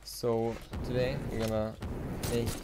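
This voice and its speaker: A teenage man with a Swedish accent, a native Swedish speaker, chatting casually while playing a game, rambling with many fillers and pauses. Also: medium pitch, completely flat delivery. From Sweden. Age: teens. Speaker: gaming YouTuber. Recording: loud noise behind the voice.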